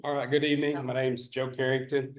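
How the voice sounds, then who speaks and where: A plainspoken man with a Northeast Texas accent speaks with dry humor, his pitch staying level and even. His voice rings with the echo of a meeting room. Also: a little fast. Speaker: HOA board candidate. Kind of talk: candidate self-introduction at a public meeting.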